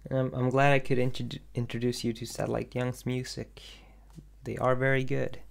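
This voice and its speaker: A young man from Sweden chatting casually on a livestream, answering viewer questions in a hesitant, soft-spoken way with lots of ums and restarts. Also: mid-range voice, level tone, a little fast, gravelly. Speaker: independent animator streaming.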